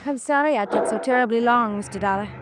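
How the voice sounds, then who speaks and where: A woman playing an evasive, coquettish married woman in a 1950s radio drama, measured, guarded and composed. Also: fairly high pitch, close to an everyday voice. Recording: noisy vintage radio recording.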